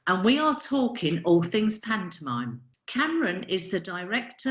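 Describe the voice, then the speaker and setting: A woman's voice: mid-range voice, a bit bright. A woman hosting a podcast in a conversational interview style, asking questions and introducing topics. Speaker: podcast host. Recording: dull, lo-fi audio.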